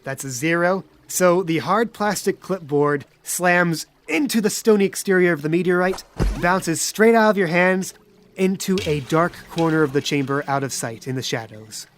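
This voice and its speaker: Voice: medium pitch, normal speed. A game master narrating a tabletop role-playing game with descriptive, folksy phrasing.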